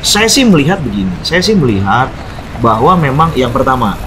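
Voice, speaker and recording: A man's voice: medium pitch, sing-song, fairly quick. Joking pastor man, noisy backdrop. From North Sumatra. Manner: joking, laughs easily, thoughtful when explaining. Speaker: pastor and guest. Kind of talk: casual chat. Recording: noisy background with passing vehicles.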